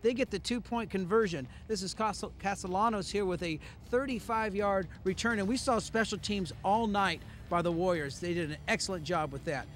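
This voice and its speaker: A middle-aged man hosting a post-game football show outdoors at night, speaking into a handheld mic with brisk, upbeat commentary. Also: high voice, fairly bright tone, a little hiss or hum. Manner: brisk, upbeat play-by-play delivery. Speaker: sportscaster host.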